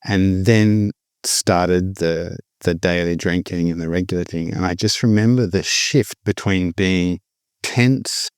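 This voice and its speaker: A man's voice: low-pitched, measured pace, smooth, no room sound, studio quality. A middle-aged man, candidly telling his personal recovery story in a reflective way. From born in the Philippines, raised abroad. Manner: reflective, candid, with frequent restarts. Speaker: guest sharing recovery story.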